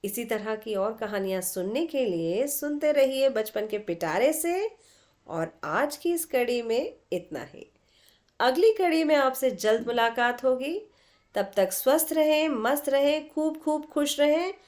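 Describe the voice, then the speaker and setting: A woman's voice: high-pitched, lively intonation, measured pace, darkish tone. A woman storyteller hosting a podcast, narrating expressively and speaking directly to her listeners.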